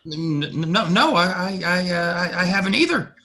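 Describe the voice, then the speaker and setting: An actor playing a jumpy, frightened townsman in a Western audio play, speaking in an excitable, faltering way. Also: high voice, moderate pace. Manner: excitable, stammering.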